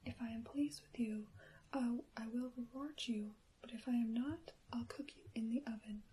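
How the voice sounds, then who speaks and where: A woman's voice: fairly high pitch, steady tone, moderate pace, warm tone, natural voice, rough recording. Whispering wicked old witch, read by a woman. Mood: quiet menace. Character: wicked old witch granny, snarling and threatening.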